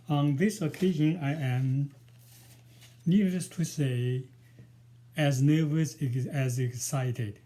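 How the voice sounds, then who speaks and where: A man in his sixties from China, Mandarin his first language, speaking English with a Chinese accent as an author introducing his book, careful and hesitant with many pauses. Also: on the high side, mellow. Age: 60s. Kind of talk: self-introduction before a book reading.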